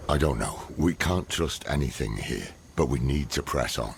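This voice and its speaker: A man voicing a gruff, weathered male warrior companion in a video game, speaking in a terse, blunt, commanding way. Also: rumbling low, expressive, normal speed, a little hiss or hum, exaggerated voice. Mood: grim. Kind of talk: video game cutscene acting. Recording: game soundtrack behind voice.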